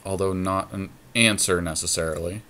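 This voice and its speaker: Low-voiced man thinking aloud. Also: steady tone, normal speed, pushed and bright, a little hiss or hum. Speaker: podcast co-host commentating on own card game play. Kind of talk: casual strategy talk while playing a card game.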